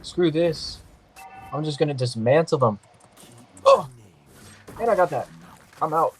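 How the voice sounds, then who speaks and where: A man's voice: fairly high pitch, very animated, unhurried, soft background music. Joking slangy man, a bit nasal. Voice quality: sometimes nasal. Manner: loose, joking, slangy trash talk. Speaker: gaming podcast host. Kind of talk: casual gameplay commentary.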